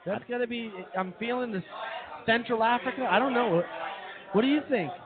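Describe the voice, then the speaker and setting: A man's voice: very high-pitched, fairly quick. A man co-hosting a comedy radio show in casual banter. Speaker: radio co-host. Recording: thin phone-like sound, crowd noise.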